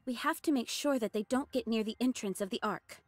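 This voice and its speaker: A woman's voice: a little high, a little fast, natural voice. A woman voicing a confident android squad leader in an anime game, firm and decisive, with music behind her. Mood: commanding.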